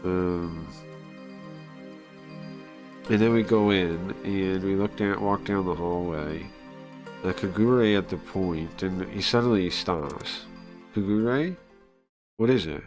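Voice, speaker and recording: A man reading and translating a visual novel aloud on a stream in a halting, informal way, often searching for words and chatting along. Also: over music. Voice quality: low-pitched voice. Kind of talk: casual live read-through, translating and chatting.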